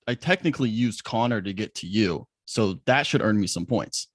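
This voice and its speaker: A man's voice: on the low side, lively intonation, normal speed, slightly rough, no room sound, studio quality. A young man from Indiana hosting a podcast interview, chatty and self-deprecating, often restarting his sentences. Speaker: podcast host. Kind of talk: casual interview chat.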